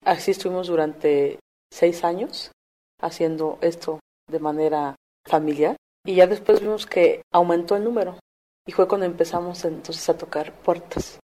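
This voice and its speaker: A woman's voice: on the low side, steady tone, moderate pace, mellow. A middle-aged woman from Mexico, earnestly recounting her community work in a documentary interview with everyday fillers. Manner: plain, earnest storytelling with fillers. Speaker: community volunteer coordinator.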